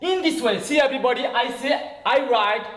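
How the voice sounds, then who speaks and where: A man's voice: very high voice, moderate pace, fairly bright tone, roomy sound. A man with a Nepali accent teaching English in an online class, spelling words out letter by letter and checking again and again that students follow. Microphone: video call.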